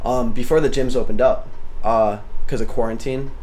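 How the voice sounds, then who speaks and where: A nineteen-year-old man, a guest in a casual chat, talking haltingly with many restarts. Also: mid-range voice, fairly even intonation, a little mellow, slight background noise.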